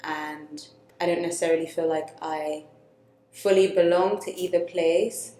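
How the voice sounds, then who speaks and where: Young woman from Nigeria, low steady voice, measured and reflective, echoey room. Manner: slow, thoughtful, with pauses and fillers. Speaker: co-host. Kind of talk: reflective personal conversation.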